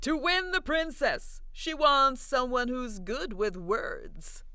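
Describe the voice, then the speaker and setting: A woman's voice: high-pitched, big pitch swings, slow pace, pushed and bright, no echo, voice pushed up, heavily acted. A woman voicing a smug, clever young man from a fairy tale, boastful and dismissive. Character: smug, clever older brothers, farmer's sons vying for princess.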